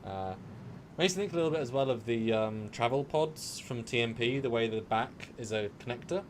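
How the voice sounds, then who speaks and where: A man co-hosts a relaxed live chat from his room at home. He jokes easily, and his pitch swings up and down. A light hiss of noise sits under his video-call audio. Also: normal speed. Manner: lively, chatty, joking. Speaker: co-host. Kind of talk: casual live discussion.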